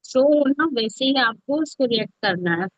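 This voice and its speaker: A woman's voice: a little high, animated, normal speed, no room sound. A woman instructor giving an informal step-by-step tutorial, often checking that listeners are following along. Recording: dull, lo-fi, dry close audio.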